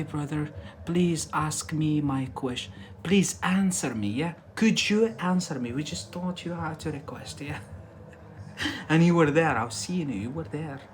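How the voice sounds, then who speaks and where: A man's voice: fairly high pitch, a little mellow, slight background noise. Emphatic man teaching English live. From Algeria. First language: Algerian Arabic. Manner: emphatic and repetitive, keeps checking in with "yeah?" and "that's it". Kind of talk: casual live-stream lesson.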